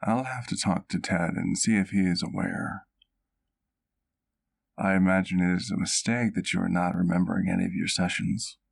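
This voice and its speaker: A man's voice: low-pitched, fairly even intonation, gravelly, heavily acted. A man playing a courtly, doting vampire doctor in an ASMR roleplay, speaking intimately to the listener in formal, precise words. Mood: concerned. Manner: formal, precise, measured wording.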